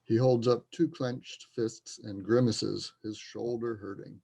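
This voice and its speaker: Creaky, mellow male stage-direction narrator. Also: on the low side, fairly even intonation, measured pace. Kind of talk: scripted narration.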